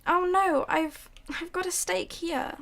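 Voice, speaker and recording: A woman's voice: extremely high pitch, fairly even intonation, unhurried, gravelly, fairly bright tone, exaggerated voice. A woman playing a nervous, secretive housewife and mother in a radio drama, evasive and pleading. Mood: uneasy. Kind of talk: student radio drama acting.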